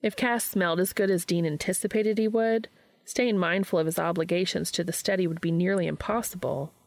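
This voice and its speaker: A woman reading fan fiction aloud as an expressive scripted story narration. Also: lowish voice, a little fast, dry recording.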